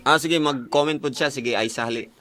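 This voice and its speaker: A man's voice: medium pitch, fairly quick. A Filipino man with a Cebuano accent hosting a radio call-in show, bantering casually and teasing his callers. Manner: joking, teasing, laughs often. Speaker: radio host of a love-advice call-in show. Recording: music playing behind the voice. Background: music bed.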